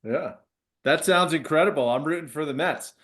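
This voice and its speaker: A man's voice: fairly high pitch, normal speed, fairly bright tone. A man chatting informally as a guest among old friends. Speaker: podcast guest. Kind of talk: casual chat.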